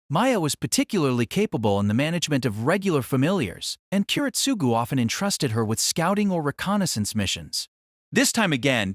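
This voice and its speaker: A man's voice: medium pitch, a bit bright, dry recording, studio quality. A man reading scripted narration for an audio drama adaptation of a novel.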